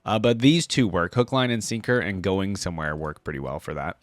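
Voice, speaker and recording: A man's voice: sits low, a little fast, no room sound. A man hosting a reaction video, giving casual, rambling gaming commentary as he thinks aloud.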